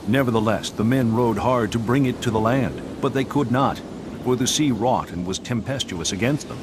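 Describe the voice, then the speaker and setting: A man's voice: medium pitch, normal speed, noisy background. A man giving solemn, scripted narration for a dramatised scripture reading.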